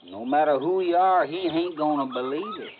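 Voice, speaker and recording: A man's voice: on the high side, moderate pace, natural voice. An actor playing a folksy backwoods man with a rural Southern American drawl in a 1950s radio drama. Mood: warning, neighborly. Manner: folksy drawl with rustic grammar. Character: backwoods moonshine-country man, folksy, protective, sweet on a widow. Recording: dull, noisy vintage radio recording.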